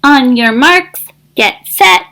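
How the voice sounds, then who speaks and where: Woman acting a bossy, high-pitched little girl duck. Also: slow pace, vocal fry, heavily acted. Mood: competitive. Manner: bragging, excitable, commanding. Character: bossy, boastful young female duck, relentlessly competitive.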